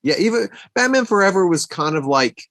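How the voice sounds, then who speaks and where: A man's voice: sits high, very animated, brisk, fairly bright tone, dry recording. Casual man in dry, close sound. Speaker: podcast co-host. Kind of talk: casual chat about movies.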